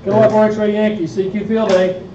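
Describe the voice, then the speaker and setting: High-pitched man calling in an echoey room. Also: flat delivery, fairly quick, crowd noise. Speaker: radio operator leading mock contact exercise. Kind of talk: amateur radio call procedure, spoken live. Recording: echoey room, distant mic, dull, audience noise.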